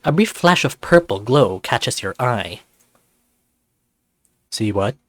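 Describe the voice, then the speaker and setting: Lively male narrator, close and dry. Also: medium pitch, unhurried, no echo. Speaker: narrator and voice actor. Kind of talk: scripted visual novel narration. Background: music.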